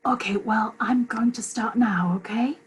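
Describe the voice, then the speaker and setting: A woman playing a sleepy, exasperated wife, speaking in a breathy, whispery, flatly patient way in a comic script reading. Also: on the high side, unhurried, natural voice. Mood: weary, exasperated. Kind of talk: comic script read aloud.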